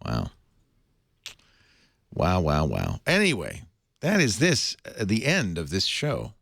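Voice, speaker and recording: A man's voice: low-pitched, takes it slowly, gravelly, dry recording, studio quality. A middle-aged man hosting a casual tech talk show, joking and laughing often. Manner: jokey, laughs often, animated delivery. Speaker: host.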